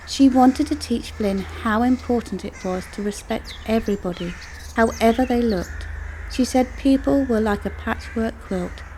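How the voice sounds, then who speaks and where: A woman's voice: medium pitch, a little mellow, slight background noise. A woman storyteller reading a scripted children's story as narration.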